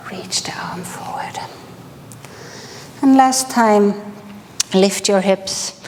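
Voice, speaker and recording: A woman from Sweden with a Swedish accent, calmly guiding a movement class with unhurried instructions and frequent pauses. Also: medium pitch, in a large hall. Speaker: movement teacher.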